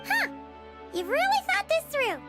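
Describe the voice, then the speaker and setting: A woman voicing a small, chirpy, talkative fairy-like girl companion with a childlike squeak in a video game cutscene. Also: sing-song, unhurried, fairly bright tone, soft background music, full character voice. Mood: curious. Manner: speaks of herself in third person, exclamatory.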